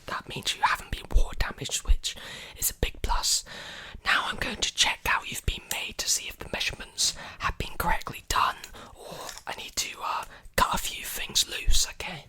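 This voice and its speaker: A man in his early twenties whispering softly and breathily in an ASMR roleplay, soothing and repeating short phrases, very close to the microphone. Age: early 20s. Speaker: ASMR creator playing a robot repair technician. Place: small indoor room. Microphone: desktop condenser mic very close to the mouth.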